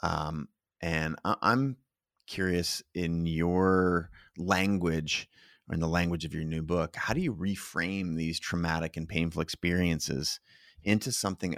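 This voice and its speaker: A man with a very deep, low voice hosts a conversational interview. The sound is dry, without room echo, and he speaks in measured phrases, pausing partway through his thoughts. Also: fairly bright tone. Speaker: interview host. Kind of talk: conversational live interview, reading audience comments and asking questions.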